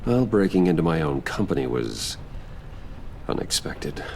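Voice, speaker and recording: An actor with an American accent playing a curt, brooding, wealthy vigilante in a video game. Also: male voice, sits low, very animated, measured pace, vocal fry, darkish tone, a little hiss or hum, exaggerated voice. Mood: curt, guarded.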